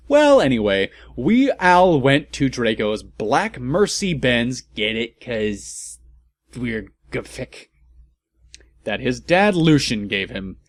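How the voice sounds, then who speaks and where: A man's voice: mid-range voice, no echo. A man giving a comedic, theatrical and mock-serious reading of amateur fan fiction as its narrator. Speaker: narrator and commentator.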